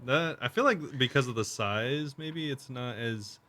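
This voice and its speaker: A man's voice: medium pitch, unhurried, bright, forceful tone. Laid-back young man, dry humor. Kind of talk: casual chat.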